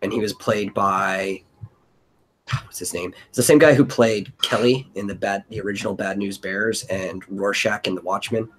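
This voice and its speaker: A man's voice: lowish voice, steady tone, normal speed, fairly bright tone. A man chatting casually as host of a brainstorming stream. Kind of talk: casual chat.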